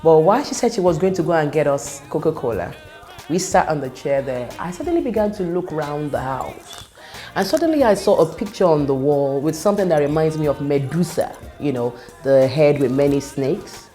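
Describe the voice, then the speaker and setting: A woman whose first language is Yoruba, a gospel singer telling a personal testimony in an earnest, reflective way, pausing and restarting as she talks. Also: low-pitched, lively intonation, mellow, faint music behind.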